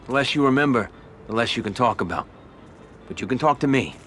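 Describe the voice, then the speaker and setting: An actor playing a confident, controlling mafia don in a video game cutscene. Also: male voice, medium pitch, animated, brisk, fairly bright tone, slight background noise, close to an everyday voice. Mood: coaxing control.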